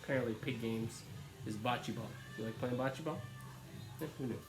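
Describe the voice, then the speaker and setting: A man hosting a children's story read-aloud, chatting casually with expressive, questioning asides. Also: mid-range voice, moderate pace, reverberant, music in the background, poor audio.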